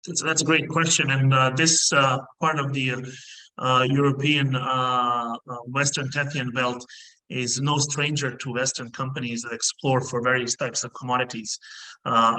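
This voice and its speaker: A middle-aged man originally from Bosnia talks over a video call from an indoor room, explaining his company as a guest. His tone is bright and clear-edged, and he moves forward in careful steps broken by frequent filler pauses. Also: mid-range voice, low-quality recording. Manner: measured, many filler pauses. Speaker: mining company CEO, guest.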